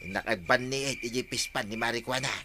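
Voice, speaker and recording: An actor playing a cheeky, teasing villager in a radio comedy drama. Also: male voice, medium pitch, fairly even intonation, fast, sharp tone, slight background noise, lightly acted. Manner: teasing, laughing.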